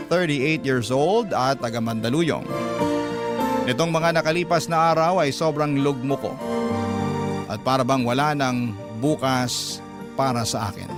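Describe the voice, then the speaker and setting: A sorrowful man in his late thirties played by an actor narrates a radio drama in an earnest, measured way. His voice sits a bit high, and loud music runs behind it. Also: close to an everyday voice. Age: 30s. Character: sorrowful thirty-eight-year-old man narrating his life story.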